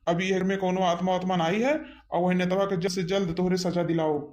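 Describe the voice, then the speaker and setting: A male village exorcist acted by a man with a notably high voice and an Awadhi accent. He gives instructions and reassurance with calm authority, as a holy man in a cartoon. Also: fast, natural voice. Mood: reassuring. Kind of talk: amateur Hindi cartoon dialogue.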